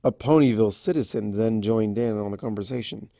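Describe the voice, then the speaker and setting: A man's voice: fairly low pitch, fairly quick, creaky, dark tone, no room sound. Man reading a story in a dry room. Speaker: fan fiction reader. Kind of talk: scripted story narration.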